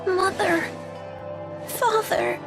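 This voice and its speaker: A woman voicing a shy, gentle little orphan girl in an anime game, with a hesitant manner. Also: very high voice, steady tone, very slow, exaggerated voice. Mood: tender. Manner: soft-hearted, hesitant. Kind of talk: anime game dub acting. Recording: music behind.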